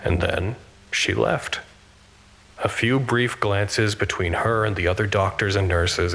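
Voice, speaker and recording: An actor playing a reflective, gentle facility worker, narrating an audio drama log in a measured, formal, introspective way. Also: male voice, fairly low pitch, poor audio.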